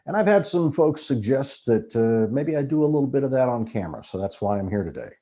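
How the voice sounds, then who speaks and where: A man performs a book reading as an amateur actor would, with theatrical flair. His mid-pitched voice moves widely up and down, giving the narration a lively, expressive shape. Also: fairly quick, highs cut off. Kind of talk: dramatic book reading to camera.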